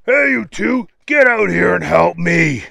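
A man voicing a bossy, angry crook who makes loud demands in a children's story. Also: very high voice, lively intonation, slow, vocal fry, dry recording, studio quality, pitched above the performer's own voice, heavily acted. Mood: angry, demanding.

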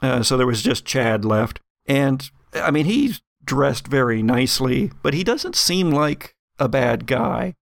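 A man's voice: medium pitch, lively intonation, unhurried, vocal fry, no room sound, studio quality. A man casually narrating and explaining rules as the game master of a tabletop roleplaying game.

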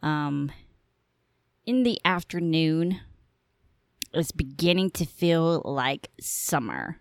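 Young adult woman, podcast host, deep-ish voice, rambling casual chat, allergy cough, dry close sound. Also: big pitch swings, slow pace, no echo. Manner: rambling, candid, swears casually. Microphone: headphones on, home podcast mic.

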